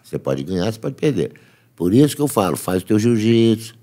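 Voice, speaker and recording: A man in his fifties from Brazil, a podcast guest telling stories in slangy casual talk, laughing often, at a studio boom mic. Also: big pitch swings, brisk, warm tone. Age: 50s. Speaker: podcast guest, jiu-jitsu fighter. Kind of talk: casual chat. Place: small podcast studio with foam panels. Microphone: studio mic on boom arm, headphones.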